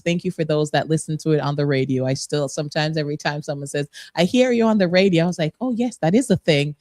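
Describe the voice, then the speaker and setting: A woman's voice: low voice, darkish tone, no echo. A middle-aged woman from Jamaica, hosting a radio interview show in a studio, upbeat and chatty, laughing often. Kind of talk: casual interview chat.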